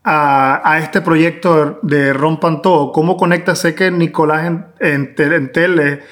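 A man's voice: on the high side, fairly even intonation, unhurried, a bit bright. A man with a Venezuelan accent, speaking as a podcast host in a conversational interview.

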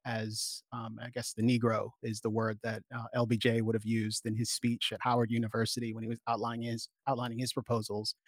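A man speaking as an interview guest, making an explanatory argument in measured, articulate long sentences. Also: mid-range voice, darkish tone, no echo.

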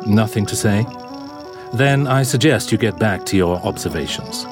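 An actor as a pompous, swooping scientist. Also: male voice, on the low side, lively intonation, moderate pace, over music, natural voice. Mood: smug, menacing. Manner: pompous, condescending. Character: imperious, dismissive, obsessive male scientist. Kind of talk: immersive audio drama acting.

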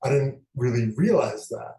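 A middle-aged man from the American Midwest, a novelist answering interview questions thoughtfully, with frequent pauses and fillers. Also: medium pitch, expressive, vocal fry, warm tone, echoey room. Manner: thoughtful, hesitant, many fillers. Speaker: guest novelist.

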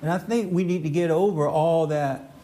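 A man's voice: a little high, moderate pace, dark tone. A man hosting an unscripted, opinionated group discussion. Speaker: host leading a group discussion.

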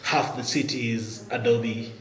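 A man's voice: mid-range voice, fairly even intonation, measured pace, fairly bright tone. A middle-aged man with a Kenyan accent telling his story casually as a podcast guest. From Nairobi, Kenya. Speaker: podcast guest, tech product executive. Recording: echoey room with faint background noise.

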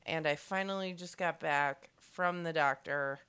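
A woman co-hosting a podcast, her voice deep, husky and hoarse from strep throat. She trades wry, laid-back banter, and her pitch sits very low for a woman. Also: a little slow, fairly bright tone. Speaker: podcast co-host. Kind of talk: casual chat.

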